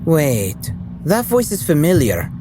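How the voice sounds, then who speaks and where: A man's voice: on the high side, huge pitch swings, takes it slowly, background noise, exaggerated voice. An actor playing a blunt, irritable, foul-mouthed man in an audio drama. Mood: irritated.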